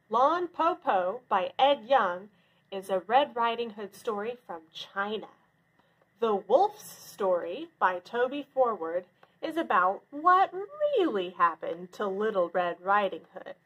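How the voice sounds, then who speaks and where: A woman with a high, very lively voice talks to children as a librarian. She explains step by step in simple phrases at an unhurried pace. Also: highs cut off. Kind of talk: instructional talk for children.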